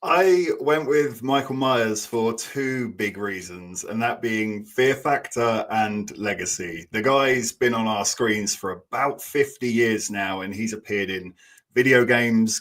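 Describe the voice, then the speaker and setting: A man making a measured, organized argument as a contestant on an online panel. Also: fairly low pitch, a bit bright. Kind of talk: prepared argument on a video call.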